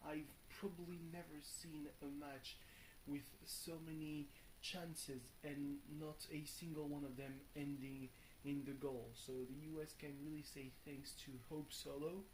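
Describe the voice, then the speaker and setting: A young man from France with a booming voice, rambling through a casual vlog from his bedroom, full of fillers and restarts, swearing now and then. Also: little pitch movement, measured pace, hardly any pauses, a little hiss or hum, low-quality recording. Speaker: vlogger. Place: small bedroom with reverb.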